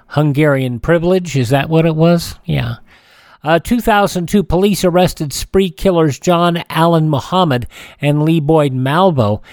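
An American man hosting a radio show, reading a history rundown informally, with halting asides. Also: on the high side, no room sound, studio quality. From Kansas, United States.

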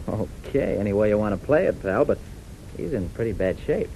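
An American actor playing a brave, level-headed young pilot hero in a 1940s radio drama, plain-spoken and take-charge. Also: male voice, fairly low pitch, animated, normal speed, dark tone. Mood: concerned, resigned. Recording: noisy 1940s radio recording.

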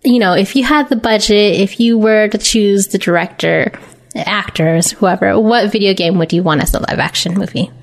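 A woman's voice: mid-range voice, moderate pace, creaky. A woman co-hosting a podcast, talking in a casual, conversational way. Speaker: podcast co-host. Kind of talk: casual chat about games and films.

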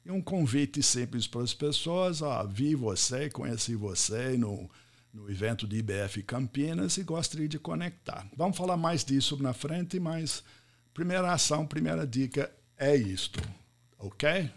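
A man's voice: medium pitch, unhurried. American man giving a storytelling talk. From United States. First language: English. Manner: expressive storyteller, repeats words for effect. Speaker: event speaker. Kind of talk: live online talk with storytelling.

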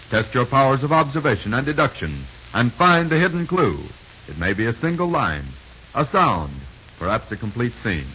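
Animated, forceful 1930s male radio announcer. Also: medium pitch. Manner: dramatic, formal, declamatory. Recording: hissy, muffled 1930s radio recording.

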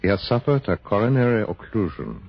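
A man voicing a grave, formal German doctor with a measured, solemn delivery in a radio drama. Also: lowish voice, sing-song, creaky, very noisy. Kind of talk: 1960s radio drama acting. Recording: muffled old radio broadcast.

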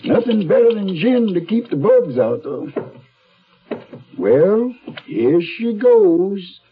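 An actor playing a folksy, drawling, cheerful rough man doctoring a wound in a 1970s radio drama. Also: high-pitched, lively intonation, slow pace. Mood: folksy, unhurried. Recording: dull lo-fi 1970s radio recording.

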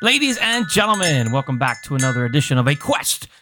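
Woman, very deep pitch, animated melody, casual hosting, joking banter. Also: fast talker, very noisy. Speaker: podcast host and player.

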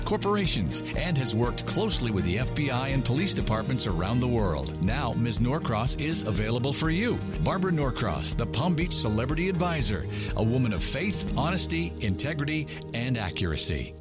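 A man reading a scripted radio promo in a polished announcer style. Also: medium pitch. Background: loud music bed.

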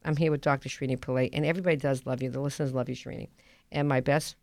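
A woman's voice: very deep voice, steady tone, racing, a little mellow, no echo. A woman, hosting a casual radio talk show in a blunt, emphatic way and often repeating herself. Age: 50s. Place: radio studio.

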